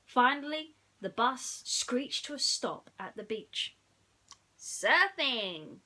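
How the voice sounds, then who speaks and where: Woman, children's storyteller: very lively intonation, bright delivery, playful and theatrical with chatty asides. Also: high voice, slow. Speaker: children's bedtime story reader.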